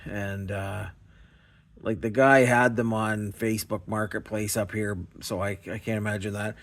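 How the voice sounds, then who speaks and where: A man casually showing and explaining things as a video host, in a halting, unsure way. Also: fairly low pitch, flat delivery. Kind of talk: casual show-and-tell explanation.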